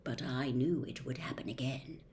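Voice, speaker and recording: A woman voicing the persuasive memory of a young man trapped in a diary, speaking in a hushed, half-whispered way. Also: extremely low pitch, moderate pace, a little hiss or hum, pitched below the performer's own voice. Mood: secretive. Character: young male phantom in a diary, secretive and persuasive.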